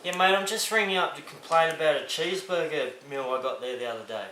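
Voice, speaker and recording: A man's voice: fairly high pitch, quick pace, bright, forceful tone. An Australian man chatting casually on a phone call, matey and rambling in his manner. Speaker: prank caller. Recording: roomy, faintly noisy, echoing phone-call recording.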